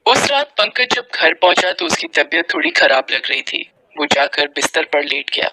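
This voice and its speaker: A male storyteller reads a scripted moral tale dramatically. His pitch is high and swings very widely, with some creak in the voice and clear noise behind it. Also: moderate pace. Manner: dramatic, expressive.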